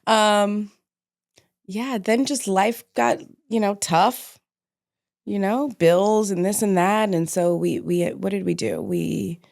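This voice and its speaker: A woman's voice: mid-range voice, fairly even intonation, slow, gravelly, darkish tone. A woman speaking as a podcast guest in a candid personal conversation, informal and reflective in how she talks.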